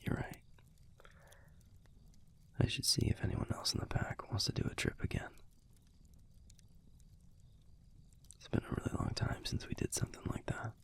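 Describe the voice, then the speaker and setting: A man playing an affectionate young alpha werewolf boyfriend in an intimate audio roleplay, talking softly and casually in a breathy, gravelly near-whisper. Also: lightly acted. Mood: tender, reflective. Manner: soft, unhurried, casual, salty-tongued.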